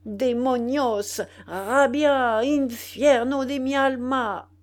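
A woman's voice: mid-range voice, big pitch swings, a little slow, darkish tone. A woman voicing a vengeful, gloating male dwarf sorcerer in an audiobook, with theatrical, commanding emphasis. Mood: furious, cursing. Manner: theatrical, emphatic, cursing with Spanish words mixed in.

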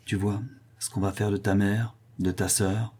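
Man acting a husky, vengeful condemned widow. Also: fairly low pitch, normal speed, dark tone, close to an everyday voice. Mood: cold anger. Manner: cold fury, savage irony, scornful. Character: fierce, sneering, vengeful condemned widow, a criminal mother.

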